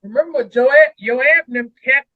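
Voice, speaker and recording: A man's voice: very high voice, moderate pace, no room sound, phone-quality sound. A man talking casually through scripture as a co-host, emphatic and repeating phrases for weight. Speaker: co-host of a Bible read-along discussion.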